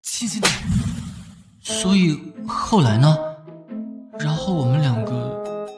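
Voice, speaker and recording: A man voicing a flustered, cheeky young man in an animated romance drama, with theatrical, exclamatory delivery. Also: a little high, slow pace, vocal fry, over music, exaggerated voice. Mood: panicked. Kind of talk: animated drama acting with inner monologue.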